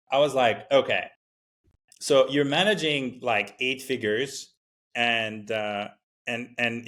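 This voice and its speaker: A founder from Morocco talking casually in an interview, full of fillers and restarts. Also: male voice, lowish voice. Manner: halting, many fillers and restarts. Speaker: startup founder, guest.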